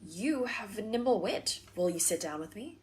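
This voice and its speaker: Woman acting, melancholy noble, sardonic, disdainful, world-weary, medium pitch, Shakespearean audio play. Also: animated, moderate pace, lightly acted.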